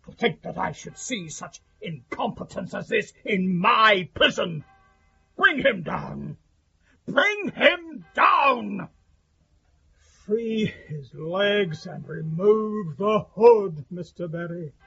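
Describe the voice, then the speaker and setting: An actor playing a stern, imperious Victorian prison governor in a radio drama, commanding and formal, with a husky, creaky voice. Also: male voice, sits high, expressive, slow, pushed and bright, heavily acted. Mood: outraged. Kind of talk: period radio drama acting. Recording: dull lo-fi audio, music underneath.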